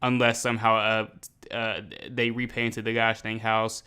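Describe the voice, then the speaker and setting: A young American man giving casual reaction commentary, animated and emphatic, rambling in slang. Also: on the low side, unhurried, smooth. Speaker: reaction video host. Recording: faint music behind the voice.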